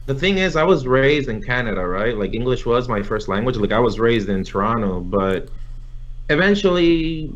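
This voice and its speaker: A man's voice: mid-range voice, very animated, moderate pace. A young man from Nicaragua, an interview guest, telling his story in a casual, rambling way with many fillers and restarts. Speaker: interview guest, competitive gamer. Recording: slightly dull lo-fi audio.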